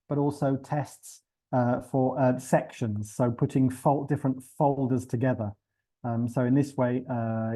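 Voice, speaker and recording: A man giving an unscripted webinar presentation for teachers, pausing often with fillers and restarting his sentences. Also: mid-range voice, mellow. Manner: hesitant, many fillers and restarts.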